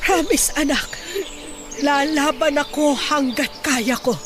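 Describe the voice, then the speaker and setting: Weary ailing mother, slow speech. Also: high voice, steady tone, noisy background. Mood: weary, tender. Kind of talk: radio drama acting.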